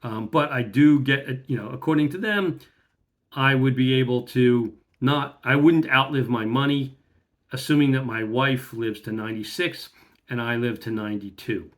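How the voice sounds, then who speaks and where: A man's voice: medium pitch, moderate pace. Methodical middle-aged man talking money at home. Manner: plain, methodical, reads out lots of figures with fillers. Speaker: vlogger. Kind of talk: casual talk to camera. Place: home room indoors.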